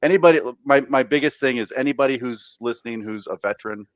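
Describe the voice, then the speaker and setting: A man's voice: mid-range voice, no room sound. A man co-hosting a podcast, chatting casually and often restarting his words. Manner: halting, repeats and restarts words. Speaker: podcast co-host. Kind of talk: casual chat.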